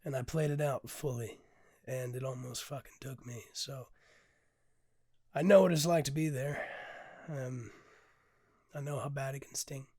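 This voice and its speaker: A hoarse man talks in a candid, unpolished way, full of ums and casual swearing, and now and then sinks to a near whisper. His voice sits at an ordinary male pitch. The sound is dry and close, with no room around it. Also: fairly even intonation, measured pace, no room sound. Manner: drops to a hush at times. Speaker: podcast host and poet. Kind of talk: casual reflective monologue with poetry reading.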